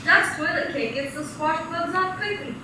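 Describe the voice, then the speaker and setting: A woman doing brisk, salesy old-time radio ad announcing in a high voice. She sounds distant in a very echoey hall, with clear noise behind her. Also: expressive. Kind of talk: mock 1940s radio ad announcing.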